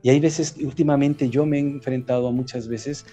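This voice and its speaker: A man with a Mexican accent, a writer answering interview questions in a reflective, halting way with frequent restarts. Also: medium pitch, steady tone, warm tone, soft background music. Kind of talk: conversational interview.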